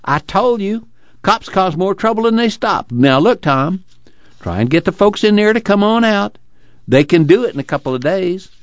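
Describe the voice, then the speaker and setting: A man voicing an earnest, excitable but weary labor organizer in an audiobook, pleading in a folksy dialect. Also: a little high, normal speed, no echo, lo-fi sound, voice pushed up. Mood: excited, urgent. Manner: rural uneducated American dialect, pleading and preaching.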